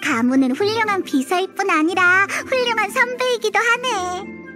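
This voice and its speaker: A little childlike companion creature from a video game. The voice is extremely high and bright, cheerful, with music playing behind. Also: female voice, moderate pace. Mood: admiring. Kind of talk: cute video game voice acting.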